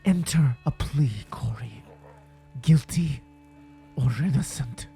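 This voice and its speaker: A man's voice: mid-range voice, expressive, takes it slowly, creaky, mellow, soft background music. An actor playing a cruel sheriff at a witch-trial execution in an audio drama, declaiming commands and demands. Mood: stern, demanding.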